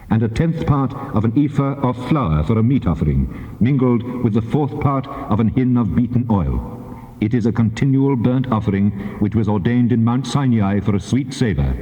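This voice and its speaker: An actor playing a solemn, commanding God in a dramatized scripture recording, speaking in a measured, authoritative declamation. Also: male voice, lowish voice, dark tone, lightly acted. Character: the Lord God, solemn commanding male deity. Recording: dull tape, long cavernous reverb.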